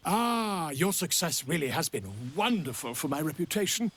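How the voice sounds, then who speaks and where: An actor playing a flamboyant, self-promoting writer in a video game, theatrical, chummy and wheedling. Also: male voice, a little high, big pitch swings, normal speed, fairly bright tone, slight background noise. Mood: sly, chummy. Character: flamboyant, self-promoting, slyly charming writer and old friend. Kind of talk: video game character acting.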